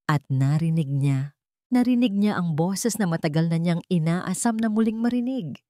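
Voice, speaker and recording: A woman's voice: lowish voice, expressive, moderate pace, warm tone, no echo, clean studio sound. A woman reads a scripted dramatic story in a solemn, suspenseful, emotive manner.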